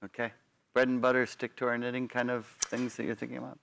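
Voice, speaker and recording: Gravelly-voiced male panel moderator. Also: mid-range voice, monotone, quick pace, a bit bright. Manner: gravelly, conversational, with some restarts.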